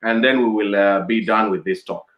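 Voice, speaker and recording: A man's voice: lowish voice, fairly even intonation, moderate pace, dull audio. A man hosting a casual interview over a video call, chatty and laughing often with many fillers. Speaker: host. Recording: echoey room.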